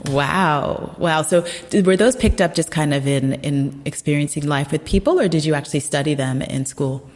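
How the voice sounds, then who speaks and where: A woman's voice: low-pitched, moderate pace, hardly any pauses, a little mellow. A woman hosting a podcast, interviewing her guest in an eager, affirming way. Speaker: podcast host. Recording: long reverb.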